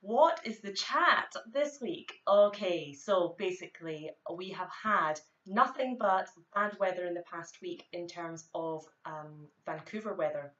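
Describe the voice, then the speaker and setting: A woman's voice: on the low side, a little slow, hardly any pauses, fairly bright tone. A woman with a Scottish accent, the founder of a fitness company, giving a chatty, direct vlog talk full of fillers. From Scotland. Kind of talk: casual vlog monologue. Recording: echoey, dull lo-fi room sound.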